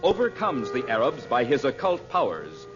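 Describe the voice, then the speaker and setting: A man's voice: mid-range voice, huge pitch swings, moderate pace. A man announcing on an old radio serial in a polished, theatrical style, like an advertiser and story narrator. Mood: dramatic. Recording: muffled old radio recording with music.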